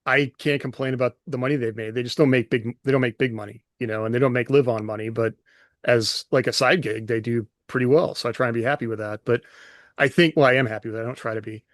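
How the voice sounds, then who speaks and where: A man co-hosts a writing podcast, thinking out loud as he talks. His voice sits at an ordinary middle pitch. The close microphone leaves almost no room sound. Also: steady tone, fast, dry recording. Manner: thoughtful, rambling with fillers. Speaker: podcast co-host. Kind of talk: casual chat.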